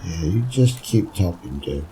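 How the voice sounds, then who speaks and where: A man's voice: low voice, lively intonation, measured pace, mellow, a little hiss or hum, poor audio. A man giving casual, offhand gameplay commentary in a laid-back way. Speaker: gameplay host.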